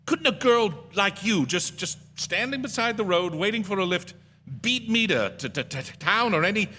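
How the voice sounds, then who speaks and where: An actor playing a desperate young male motorist unravelling with dread, in halting, theatrical radio suspense style. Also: high-pitched, animated, pushed and bright, slight background noise. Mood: nervous, rambling. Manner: intense, halting, stammering. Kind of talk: theatrical radio suspense drama acting and narration. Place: theatre stage. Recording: live theatre stage, long hall reverb, music.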